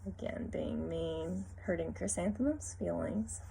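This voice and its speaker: A woman reads a children's story with lively expression and little teacherly asides. Her voice has a noticeable creak, and there is some noise in the recording. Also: fairly low pitch, unhurried, rough recording. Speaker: read-aloud teacher. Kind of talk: expressive picture-book read-aloud with asides to young listeners.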